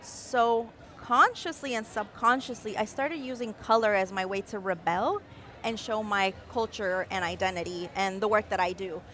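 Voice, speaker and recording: A young adult woman, born in Mexico City and raised in Caracas, explaining her design process with expressive enthusiasm in a live-stream interview. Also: medium pitch, normal speed, a little hiss or hum. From Mexico City, raised in Caracas, Venezuela. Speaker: guest designer.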